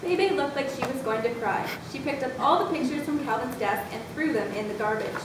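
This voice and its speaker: High-voiced woman narrating in very echoey room. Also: fairly quick, distant mic. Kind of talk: scripted readers theatre storytelling. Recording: echoey room from afar, rumbling background noise.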